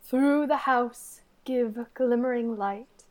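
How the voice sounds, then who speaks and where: A woman's voice: a little high, slow pace, a little mellow. A woman playing a serene, regal fairy ruler, giving stately, lilting incantatory verse in a radio play. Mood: serene, commanding.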